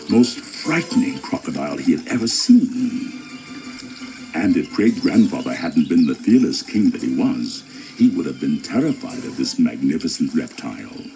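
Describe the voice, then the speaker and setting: A man narrating a children's storybook in a dramatic, expressive style. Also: extremely low pitch, vocal fry, low-quality recording.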